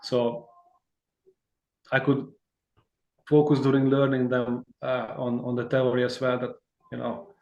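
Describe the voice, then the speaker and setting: Man, mid pitch, easygoing and rambling guest, echoey room. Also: little pitch movement, measured pace, long pauses, mellow, muffled recording. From Hungary. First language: Hungarian. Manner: rambling and friendly, many fillers and restarts, laughs easily. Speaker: guitarist, interview guest. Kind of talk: casual interview chat.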